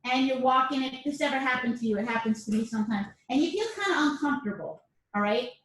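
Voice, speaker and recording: A woman's voice: high voice, fast talker, a bit bright. A woman instructor giving a casual self-defense lesson, chatty, often checking in with "all right?". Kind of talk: casual class lecture to camera. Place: dojo or training room. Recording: echoey room, mic at a distance.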